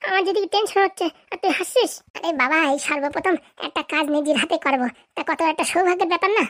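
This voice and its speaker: Someone voicing a high-pitched, cocky cartoon youngster. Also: fast talker, fairly bright tone. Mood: boastful, bickering. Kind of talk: comedy cartoon dialogue.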